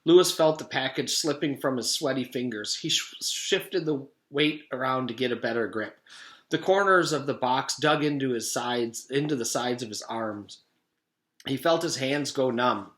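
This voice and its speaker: Bright-voiced man reading a children's storybook. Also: medium pitch. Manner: expressive, deliberate storytelling. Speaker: teacher reading aloud. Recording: echoey room.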